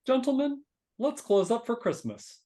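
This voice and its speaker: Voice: high voice, sing-song, unhurried, warm tone. A performer reading a meek, polite male frog clerk, hesitant and deferential, in a live dramatic reading. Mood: grateful. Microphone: video call.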